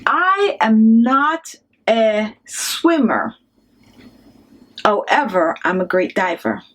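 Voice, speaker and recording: A woman's voice: on the high side, very animated, very slow, a bit bright, poor audio. A woman in her thirties from Jamaica with a strong Jamaican accent, chatting casually to camera and laughing often. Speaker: beauty vlogger. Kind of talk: casual vlog chat.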